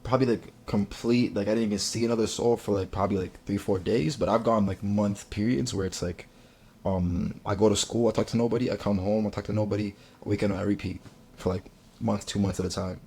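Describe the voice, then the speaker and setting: Husky, fast-talking young man. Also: on the low side, steady tone, a little mellow. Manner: slangy, filler-heavy, rambling. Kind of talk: casual chat.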